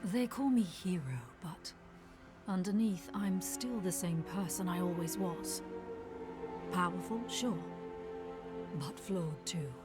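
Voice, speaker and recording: An actress playing a weary, humble female hero, subdued and candid, in a dark fantasy video game. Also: lowish voice, slow pace, long pauses, over music. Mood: weary, confiding.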